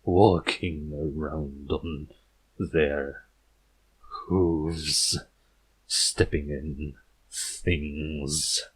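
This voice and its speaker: A man voicing a creepy, paranoid, unnervingly polite pony horror author from a storybook, slowly, with a thick gurgling voice. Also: extremely low pitch, fairly even intonation, husky, pitched below the performer's own voice, exaggerated voice, rough recording. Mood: paranoid unease. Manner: slow, drawn-out delivery.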